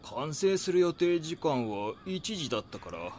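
An actor playing a gruff, wary older neighbourhood chief with a Kansai accent in an audio drama. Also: male voice, on the high side, big pitch swings, moderate pace, a little mellow, a little hiss or hum.

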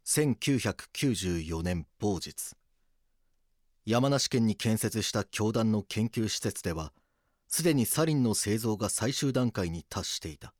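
A man reading scripted documentary drama narration in a grave, measured manner. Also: lowish voice, expressive, darkish tone, no room sound, clean studio sound.

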